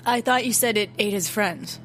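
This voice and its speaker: A woman's voice: medium pitch, normal speed, a bit bright, lightly acted. Woman playing a skeptical gal, dry n casual, questions everything, natural audio drama chat with music under. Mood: questioning.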